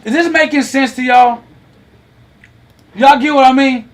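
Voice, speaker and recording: High-voiced, slow-talking man in his thirties. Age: 30s. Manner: animated, teasing, asks the audience questions. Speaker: host. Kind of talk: lively talk to a live online audience.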